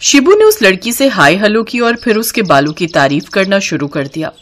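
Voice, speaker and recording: A woman's voice: mid-range voice, big pitch swings, moderate pace. A woman narrating a cartoon story in a scripted storybook style.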